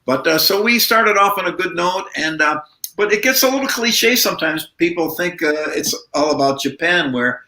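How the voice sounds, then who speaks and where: A male musician guest in a casual interview, telling stories in a relaxed way with many fillers, over a video call. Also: high-pitched, normal speed, roomy sound.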